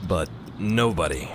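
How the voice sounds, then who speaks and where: A man's voice: deep voice, full character voice. A man acting in a radio play as a weary, reluctant gang member, speaking hesitantly and conversationally. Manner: hesitant, apologetic, throat-clearing, conversational. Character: weary, reluctant crime-gang family man giving orders. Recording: clear ambient noise, ticking clock.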